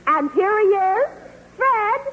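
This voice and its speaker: A woman who is a regular on a 1940s radio comedy show, delivering deadpan, naive quips. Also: very high-pitched, takes it slowly, heavy background noise. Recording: tinny old radio broadcast.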